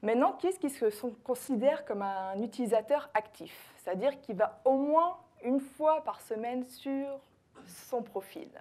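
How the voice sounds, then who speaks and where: French woman, conference speaker, mellow voice, frequent pauses and corrections, live talk. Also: a little high, animated. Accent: French (France). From France. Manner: hesitant, many fillers and self-corrections. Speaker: social media consultant and trainer.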